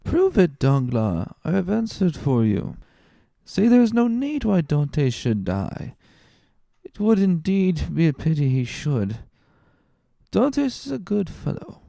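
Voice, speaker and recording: A man's voice: a little high, wildly swooping pitch, measured pace, creaky, warm tone, no room sound, studio quality, pitched above the performer's own voice, heavily acted. A man voicing a tipsy, good-natured, boastful drunkard in a slurred, rambling drawl for a dramatic reading. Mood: tipsy, fond.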